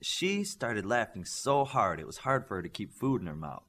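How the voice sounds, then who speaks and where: A man's voice: fairly low pitch, big pitch swings, moderate pace, fairly bright tone. A man reading scripted story narration as an audiobook narrator.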